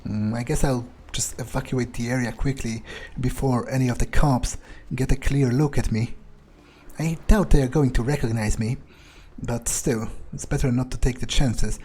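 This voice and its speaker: A man's voice: medium pitch, fairly even intonation, normal speed, dark tone, husky. A man improvising in-character video game commentary, thinking aloud in a musing, hesitant way and swearing freely. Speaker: let's player role-playing in character.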